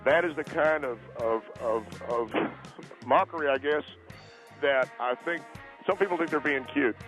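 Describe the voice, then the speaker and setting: A man voicing a defensive, humorless radio caller who argues in a halting, earnest, indignant way over a telephone line. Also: mid-range voice, fairly bright tone, faint music behind, pitched above the performer's own voice. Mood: indignant, suspicious. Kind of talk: comedic phone-in radio act. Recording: tinny, band-limited phone line.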